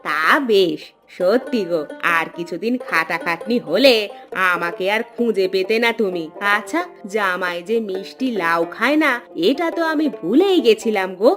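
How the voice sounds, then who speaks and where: A woman voicing a gentle, weary middle-aged housewife and mother-in-law in a cartoon, soft-hearted and placating. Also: medium pitch, animated, unhurried. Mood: relieved. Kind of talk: Bengali cartoon dialogue. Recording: music under the voice. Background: music.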